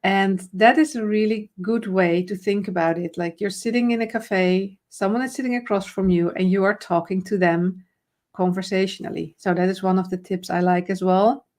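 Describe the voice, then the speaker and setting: A woman's voice: mid-range voice, fairly even intonation, normal speed, darkish tone. Woman, Dutch accent, non-native English, confidence coach, explanatory, filler-laden, live chat. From Netherlands. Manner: friendly, explanatory, with frequent fillers and restarts. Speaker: confidence trainer and host.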